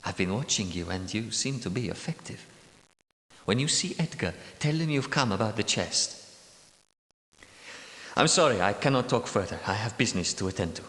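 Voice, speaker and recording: A man voicing a bitter, secretive knight guardian who is centuries old, speaking in a measured, world-weary way in a game's voice lines. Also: on the low side, animated, a bit bright. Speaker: game character. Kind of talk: game character voice lines. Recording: echoey reverberant room.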